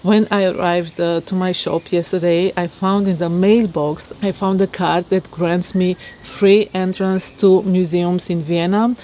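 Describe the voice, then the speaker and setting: A woman from Romania with a Romanian accent, talking reflectively to her viewers in a halting way with many fillers. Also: on the low side, steady tone, dark tone, slight background noise, rough recording. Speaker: vlogger. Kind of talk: casual monologue to camera. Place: outdoors in her garden.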